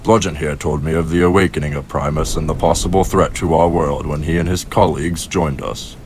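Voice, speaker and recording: A man's voice: rumbling low, fairly even intonation, fairly quick, background noise. A man voicing a cold, imperious robot villain leader in a comic dub, curt and commanding. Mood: impatient. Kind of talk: fan comic dub acting. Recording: music and rain behind.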